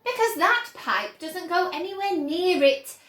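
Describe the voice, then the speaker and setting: A woman's voice: very high voice, animated, measured pace, a bit bright, roomy sound, voice pushed up, exaggerated voice. A woman reading aloud, voicing an eccentric, theatrical male candy maker who talks in giddy, soothing flourishes.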